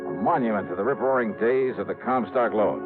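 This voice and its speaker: A man's voice: fairly low pitch, brisk, phone-quality sound, lightly acted. An actor playing a wry, hard-boiled male investigator, narrating and talking in punchy vintage radio noir style. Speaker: lead and narrator. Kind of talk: radio noir narration and dialogue, 1950s-60s acting. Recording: thin vintage radio recording with music.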